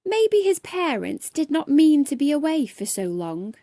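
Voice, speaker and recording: A woman reads a children's storybook aloud, expressively and with dramatic, deliberate emphasis. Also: high voice, very animated, mellow, dry recording, studio quality. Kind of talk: expressive bedtime story narration.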